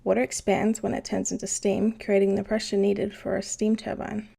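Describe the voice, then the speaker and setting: A woman's voice: medium pitch, little pitch movement, moderate pace, gravelly, warm tone. A woman playing a knowledgeable, unflappable ship's engineer who explains things patiently in an educational cartoon. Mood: patient explaining. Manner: patient, instructive. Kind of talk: scripted educational explanation in animation.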